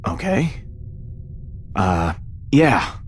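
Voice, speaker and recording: Slow, low-voiced shaken adventurer. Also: male voice, very animated, a little hiss or hum, full character voice. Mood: dazed, confused. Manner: halting, subdued. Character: shaken, dazed young male space adventurer. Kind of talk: animated game drama acting.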